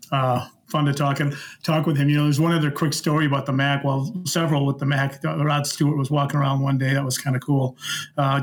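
A middle-aged man telling anecdotes in a casual, unhurried, conversational way, often retelling dialogue as he goes. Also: mid-range voice. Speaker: podcast guest, former concert security worker.

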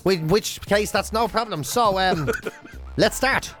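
A man's voice: sits high, takes it slowly, a bit bright, soft background music. An Irish man co-hosting a radio show with casual comedic banter, rambling, self-deprecating and free with swearing.

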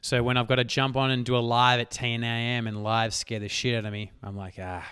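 Energetic man, hoarse podcast voice. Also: mid-range voice, brisk, no echo, studio quality. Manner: energetic, emphatic, swears casually. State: losing his voice. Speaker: podcast host. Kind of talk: solo motivational podcast talk.

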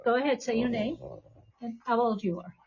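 Female podcast host and teacher, reassuring, higher-pitched, captured in muffled lo-fi sound. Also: brisk, darkish tone, slightly rough. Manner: encouraging, reassuring prompts. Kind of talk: live podcast hosting, addressing a class. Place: classroom with about twenty people.

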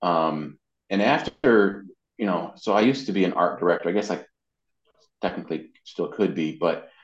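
A man's voice: sits low, normal speed. A middle-aged man chatting casually as an interviewee, telling anecdotes in a conversational storytelling way. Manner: chatty storyteller, acts out quoted dialogue. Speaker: interviewee, amateur voice actor. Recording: dull, roomy, echoey sound.

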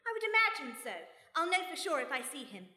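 A shrewd British lady detective in a radio play. Her voice is high and bright, with composed, dryly witty delivery. It rings in an echoey hall. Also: very animated, fast, full character voice. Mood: resolute, dry. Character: shrewd, unflappable British mother turned amateur sleuth. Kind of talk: radio play mystery acting. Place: stage before a live audience. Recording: echoey hall, live stage recording.

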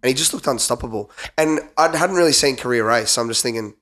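A young Australian man with a hoarse, flu-roughened voice, riffing as a guest co-host. He jokes, swears freely and trails off into restarts, talking in an ordinary mid-range pitch. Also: fast talker, fairly bright tone. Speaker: guest co-host, former 800m runner. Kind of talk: casual podcast banter.

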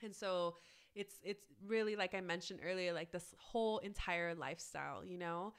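A woman guest on a podcast, talking casually with lots of "like" fillers, her voice raspy from a cold. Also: fairly low pitch, moderate pace. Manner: chatty, many "like" fillers. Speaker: guest, plant-based cookbook author.

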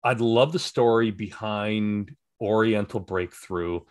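A man's voice: fairly low pitch, takes it slowly, a little mellow. Enthusiastic male podcast interviewer. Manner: enthusiastic, complimentary questioner. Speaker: interview host.

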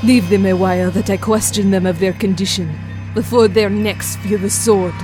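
A woman's voice: medium pitch, steady tone, normal speed, close to an everyday voice. A woman playing a commanding, wrathful lady who speaks in formal archaic style in an audio drama. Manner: imperious, formal archaic speech. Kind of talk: audio drama acting of an old tale. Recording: music behind the voice.

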